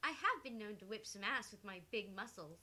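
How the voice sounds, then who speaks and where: A woman's voice: mid-range voice, lively intonation, brisk, bright, forceful tone. A woman voicing a quirky, upbeat young witch in a visual novel, breezy and cheerfully odd. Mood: cheerfully weird. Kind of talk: live voice-acted visual novel read-through. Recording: clear background noise and hiss.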